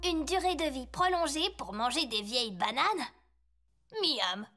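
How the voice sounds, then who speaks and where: A woman's voice: high-pitched, animated, measured pace, bright, forceful tone, full character voice. A woman voicing a clever young girl in a French cartoon dub. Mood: wry. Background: music.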